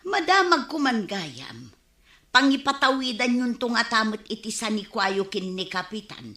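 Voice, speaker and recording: A woman's voice: a little high, sing-song, normal speed, pushed and bright. A woman playing a nosy, gossiping village neighbour with an Ilocano accent in a radio comedy drama. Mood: prying. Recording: roomy, echoing studio sound.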